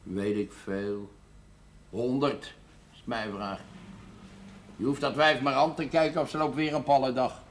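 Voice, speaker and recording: A man playing a gruff, drunken socialist father, coarse, scornful and blustering, in a television drama. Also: medium pitch, measured pace, pauses often, close to an everyday voice. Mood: sour, grumbling. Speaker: actor in a television drama series. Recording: noisy old television soundtrack.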